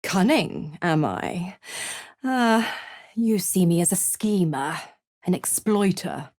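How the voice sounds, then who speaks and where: A woman's voice: fairly low pitch, animated, takes it slowly, a little mellow, no echo, studio quality. A woman voicing a sly, seductive half-devil schemer in a video game, purring, teasing and condescending with theatrical flair. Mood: smug, teasing.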